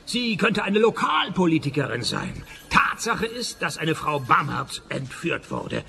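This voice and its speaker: Cynical detective, creaky voice, higher-pitched, deadpan, sarcastic, radio drama. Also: male voice, unhurried, a little hiss or hum, exaggerated voice. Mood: sardonic. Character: cynical, deadpan male private detective narrator. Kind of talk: radio play narration and dialogue.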